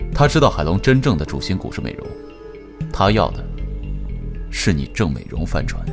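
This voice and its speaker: An actor playing a composed young company heir who talks in a calm, measured, authoritative way. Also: male voice, low voice, creaky, full character voice. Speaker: company head in an audio drama. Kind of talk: amateur audio drama acting.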